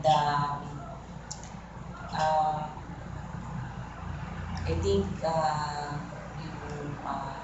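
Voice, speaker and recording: A woman's voice: sits low, lo-fi sound. A woman, hesitant and full of ums in a group discussion. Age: 50s. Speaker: company director, focus group participant. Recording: echoey room with background noise.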